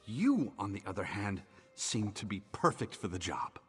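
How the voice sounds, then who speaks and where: An actor playing a smarmy, flirtatious male merchant in a video game, with a husky, oily delivery. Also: lowish voice, very animated, moderate pace, fairly bright tone, long reverb tail, faint music behind, heavily acted. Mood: flattering. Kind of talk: video game character dialogue.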